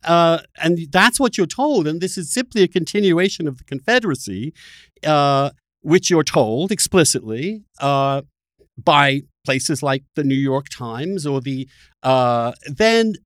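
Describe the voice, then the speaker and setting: A man's voice: a little high, animated, measured pace, no room sound, studio quality. A middle-aged man hosting a conversational political debate, arguing emphatically in formal phrasing. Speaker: podcast host.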